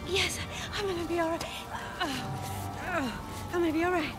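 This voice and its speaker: A woman's voice: very high voice, steady tone, normal speed, over loud music. An actress playing a frantic, loving middle-aged mother, distressed and near tears, in a video game cutscene. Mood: shaken, pleading. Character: frantic, loving mother searching disaster wreckage.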